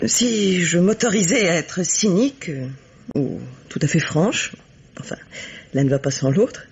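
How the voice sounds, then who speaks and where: An actress playing a cynical, haughty bourgeois woman in a French radio mystery, speaking in a measured, articulate, coolly disdainful way. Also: lowish voice, a little mellow, husky. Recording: dull vintage radio recording.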